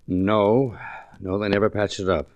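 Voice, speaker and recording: A man's voice: fairly low pitch, level tone, measured pace. An actor playing a brusque, guarded businessman with clipped delivery in a 1940s radio mystery, on a dull old recording.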